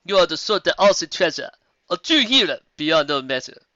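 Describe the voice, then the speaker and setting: A wise female zebra speaks in slow, formal rhymes, as a reader puts her on. Her voice sits a little higher than the narration and moves a lot. The recording is dry and a bit dull. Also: big pitch swings, bright, forceful tone, no room sound. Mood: solemn. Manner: rhyming, formal and grave.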